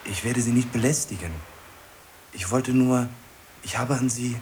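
A man's voice: mid-range voice, darkish tone, slight background noise, lightly acted. An actor playing a hesitant, lonely, obsessive man who stumbles and repeats himself in a radio play. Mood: awkward, pleading. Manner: halting, repeats himself, muttering to himself.

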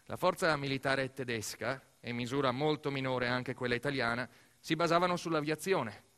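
Male theatre narrator, expressive storytelling. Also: mid-range voice, normal speed, clear, resonant tone. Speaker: actor-narrator of a solo theatre piece. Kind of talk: dramatic scripted narration, theatrical monologue.

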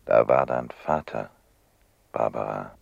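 Very deep, gravelly coaxing man. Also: slow pace, dry recording, dull audio, exaggerated voice. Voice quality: gravelly, husky. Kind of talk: German radio play acting.

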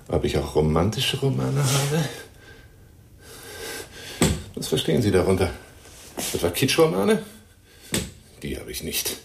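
A very deep-voiced, pompous lion hunter pours out a flirtatious telephone monologue. His delivery leaps and swoops theatrically, grand and insistent, in radio drama style, over a clearly noisy, crackling recording. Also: heavily acted. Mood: musing, boastful.

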